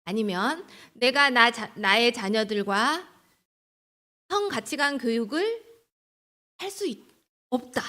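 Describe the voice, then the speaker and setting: A woman's voice: a little high, a bit bright. A woman lecturing at a church training, teaching and exhorting, posing questions to her listeners and answering them herself, often checking for agreement. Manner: asks the audience rhetorical questions, then answers them herself; seeks agreement with short tag questions. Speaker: lecturer at a church sex-values training for leaders.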